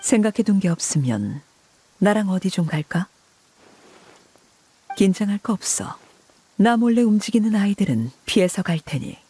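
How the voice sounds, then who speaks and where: Husky, commanding, shrewd lady. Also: medium pitch, big pitch swings, warm tone. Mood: knowing, commanding. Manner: unhurried, knowing, imperious. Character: cool, commanding, shrewd adult woman with quiet menace. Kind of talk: video game voice acting.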